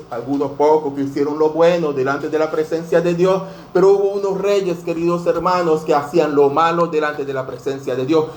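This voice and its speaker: Venezuelan pastor in his 50s, slightly high-pitched, preaching earnestly in a reverberant church with faint background hiss. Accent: Venezuelan Spanish. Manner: earnest, fills every phrase with "dear brothers". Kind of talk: sermon. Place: church sanctuary. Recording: echoey church room, faint noise.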